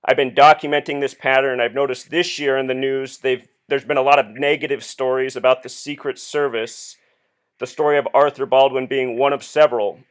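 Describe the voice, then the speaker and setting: A man speaking as a caller on a phone call, earnestly explaining his theory in an insistent, deliberate, questioning way. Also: medium pitch, fairly even intonation, clear, resonant tone. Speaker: caller phoning the police with a theory.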